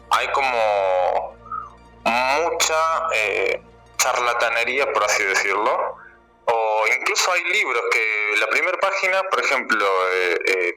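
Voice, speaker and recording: Bright-voiced Buenos Aires man. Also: medium pitch, poor audio. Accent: Buenos Aires Argentine. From Buenos Aires, Argentina. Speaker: guest, tarot card reader and teacher. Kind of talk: casual radio interview.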